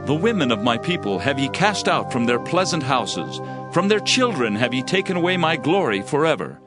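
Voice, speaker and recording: Man acting as a grave prophet, animated delivery. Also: mid-range voice, normal speed, natural voice. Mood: stern. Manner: solemn, declamatory, archaic scripture phrasing. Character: grave male Hebrew prophet proclaiming judgment and lament. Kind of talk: dramatized audio Bible reading. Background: background music.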